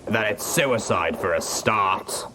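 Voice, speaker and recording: Man acting stern ghoul stallion soldier, low voice, gruff, blunt, storybook reading, noisy recording with clicks. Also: slow. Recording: clear background noise, clicks.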